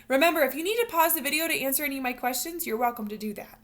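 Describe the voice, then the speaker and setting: Female teacher for children's read-aloud: high, bright voice, expressive storytelling with guiding questions. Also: rapid-fire. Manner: expressive, instructional, addressing young children. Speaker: teacher reading a picture book to children.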